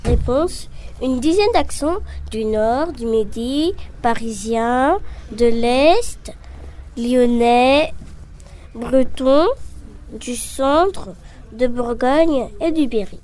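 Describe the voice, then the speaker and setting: A woman interviewing passers-by on the street, reading out quiz questions and answers clearly. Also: on the high side, extremely slow, darkish tone, rough recording. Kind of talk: vox pop quiz interview.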